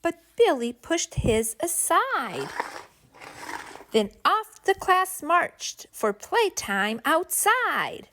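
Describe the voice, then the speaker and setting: A woman reading a rhyming picture book to preschoolers in an expressive, deliberate, sing-song storytelling way. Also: very high voice, noisy background. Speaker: children's story reader and channel host.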